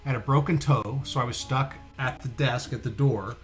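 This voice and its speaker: A man's voice: medium pitch, brisk, faint music behind. A man co-hosting a casual livestream, chatting and reading out comments in a jokey, talkative way. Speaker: co-host of a livestream show.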